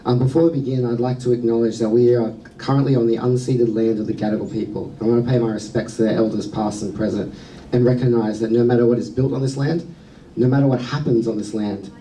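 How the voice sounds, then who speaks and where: Australian man, public speaker, dark mellow tone, earnest and measured, distant mic. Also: medium pitch, steady tone, slight background noise. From New South Wales, Australia. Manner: earnest, measured, with frequent "um" fillers. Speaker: speaker at a museum sector event. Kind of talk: prepared public talk. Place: event venue.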